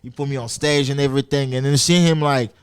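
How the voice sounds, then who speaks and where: A man's voice: medium pitch, fairly even intonation, fast, smooth, no room sound. A man from France, a guest on a podcast, talking in a laid-back casual way with lots of slang fillers. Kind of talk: casual chat.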